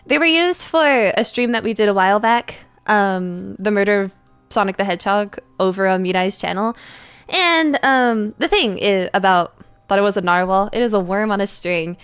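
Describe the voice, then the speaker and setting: A woman's voice: medium pitch, lively intonation, normal speed, a bit bright, clean tone, faint music behind. A woman hosting a casual livestream, chatting to viewers in a playful, giggly, rambling way. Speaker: livestream host and voice actor.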